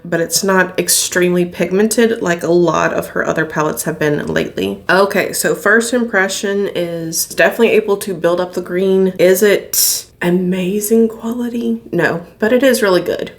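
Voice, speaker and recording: A young woman talks casually to camera while doing her makeup, her voice a little raspy from a recent illness. She is candid and chatty, laughing easily. Recorded in a small home studio from a desk camera. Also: on the low side. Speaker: beauty vlogger. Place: indoor home studio room.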